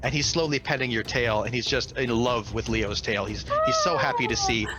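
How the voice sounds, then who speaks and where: A man's voice: medium pitch, wildly swooping pitch, brisk, very noisy. A man acting as game master, improvising dramatic, descriptive narration for a tabletop fantasy game.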